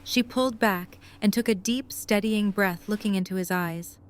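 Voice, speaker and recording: A woman narrator with a medium-pitched voice reads scripted prose for an audio drama. She tells the story between the characters' lines. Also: moderate pace.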